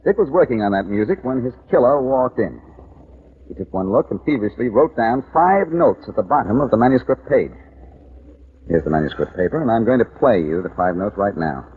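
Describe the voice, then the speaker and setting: An actor playing a smooth, teasing detective in a 1940s radio drama. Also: male voice, mid-range voice, animated, fairly quick. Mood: wry. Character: wisecracking reformed-thief male detective. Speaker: lead detective. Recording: tinny old radio broadcast with faint hiss.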